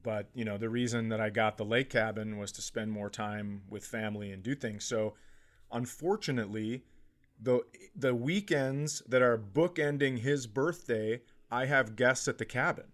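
A man's voice: mid-range voice, moderate pace. Man in comedic casual podcast chat. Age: middle-aged. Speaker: podcast co-host.

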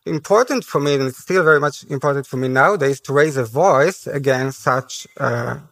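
Higher-voiced man with an Israeli accent. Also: a bit bright, low-quality recording. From Haifa, Israel. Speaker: panel speaker. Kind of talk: personal public speech at a conference. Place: on stage at a conference.

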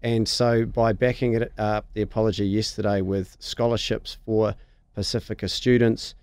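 A man with a New Zealand accent, speaking as a member of parliament on a radio panel, measured and earnest. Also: lowish voice, little pitch movement, a little mellow, clean tone, dry recording.

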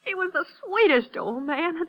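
A woman's voice: extremely high pitch, animated, normal speed, muffled recording. An actress playing a nervous, defensive young small-town American woman in a 1950s radio drama, flustered and insistent. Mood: distressed. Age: young adult. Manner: flustered, hesitant, then insistent. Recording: vintage 1950s radio broadcast recording.

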